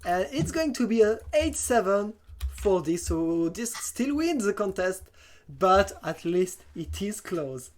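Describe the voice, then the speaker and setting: A talk show host with a mid-range voice chats casually with guests. The phrasing is hesitant and non-native, in the relaxed style of an online gaming show. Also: medium pitch, slow.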